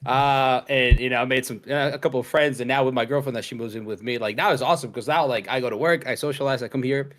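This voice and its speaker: A man's voice: medium pitch, fairly even intonation, fast, hardly any pauses, fairly bright tone. A young man from Mexico, a podcast guest talking casually on a video call, rambling with many fillers and restarts. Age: young adult. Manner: rambling, laid-back, lots of fillers and restarts. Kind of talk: casual chat.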